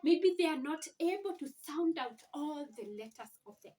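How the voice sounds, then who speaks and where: Female instructor for home-learning video: high voice, unhurried explanatory delivery, rhetorical questions, recorded a little away from the mic. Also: lively intonation, dark tone. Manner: deliberate, sounds out letters and words, asks rhetorical questions. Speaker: teacher giving a home reading lesson. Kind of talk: informal instructional explanation to parents. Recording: slightly distant microphone.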